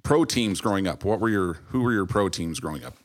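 A middle-aged American man hosting a podcast, speaking in an earnest, halting conversational way. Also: deep voice, expressive. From Utah, United States. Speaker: podcast host. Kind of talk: conversational podcast interview with scripted-sounding introduction.

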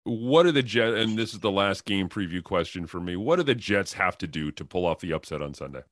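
Lowish-voiced male sports podcast host. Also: lively intonation, brisk, a bit bright, no echo, clean studio sound. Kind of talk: conversational interview.

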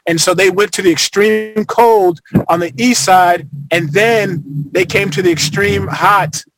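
A man's voice: high voice, fairly even intonation, unhurried, a bit bright, rough recording. A man from Arizona, a former football player, talking casually as a podcast guest, rambling with lots of fillers and restarts. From Arizona, United States.

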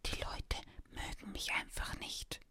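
A woman's voice: measured pace, pushed and bright. Lady doing a cheeky little boy from a storybook, whispery, acting all innocent and pleading. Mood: feigned innocence. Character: cheeky young boy prankster feigning wide-eyed innocence.

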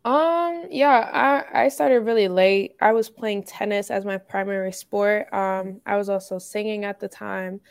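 A young woman from Finland, a college basketball guest, answering interview questions casually with many hesitations and soft laughs. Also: mid-range voice, measured pace, vocal fry, hardly any pauses, clear, resonant tone, no room sound. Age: young adult.

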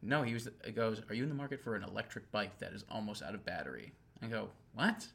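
A man's voice: lowish voice, quick pace, vocal fry, breathy edge. A man co-hosting a podcast, telling stories in casual chat and acting out what people said. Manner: animated storyteller, acts out quotes. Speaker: podcast co-host.

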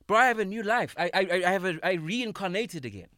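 Thirties man on a studio mic, lively and emphatic podcast guest, slightly raised pitch, very dry sound. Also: fast, no room sound. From South Africa. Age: 30s. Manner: animated, emphatic, swears freely, repeats words. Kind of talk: casual podcast conversation. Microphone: studio microphone.

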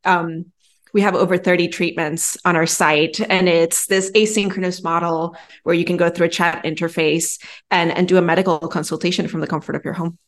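Lowish-voiced woman from Venezuela, interview guest. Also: little pitch movement, a little fast. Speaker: podcast guest, partnerships manager. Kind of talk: conversational interview answers.

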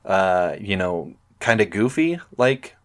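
Um homem apresentando um podcast em conversa descontraída, cheio de hesitações e recomeços. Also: male voice, sits low, big pitch swings, takes it slowly. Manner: rambling, many fillers and restarts. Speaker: podcast host. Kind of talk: casual podcast chat.

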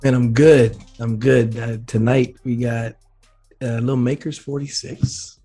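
Male co-host, former player, relaxed, husky and a bit scratchy. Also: medium pitch, measured pace, faint music behind. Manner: relaxed, laid-back, lots of fillers. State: slightly raspy, scratchy throat. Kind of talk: casual sports talk.

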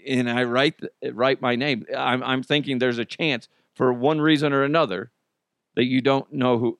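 Male podcast host, hoarse and raspy, mid-range voice, self-mocking ramble, dry close sound. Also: medium pitch, no echo. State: hoarse, strained voice. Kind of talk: casual solo chat.

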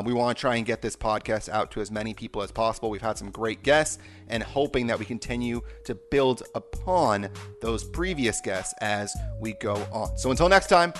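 Male sports podcast host, mid-range voice, effusive and emphatic delivery. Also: mid-range voice. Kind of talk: sports podcast interview and sign-off.

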